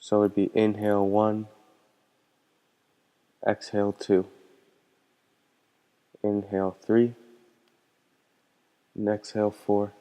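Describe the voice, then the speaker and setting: Smooth, even-toned man guiding a meditation. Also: lowish voice. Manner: calm, gentle, unhurried instructing with pauses. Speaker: meditation teacher. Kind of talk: guided meditation instruction. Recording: long hall-like reverb.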